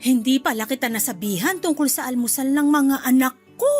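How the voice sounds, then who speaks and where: An actress playing a brisk, chatty middle-aged mother-in-law with a Filipino accent in a radio drama. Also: high-pitched, expressive, a little mellow, faint music behind. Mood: fussy, resigned.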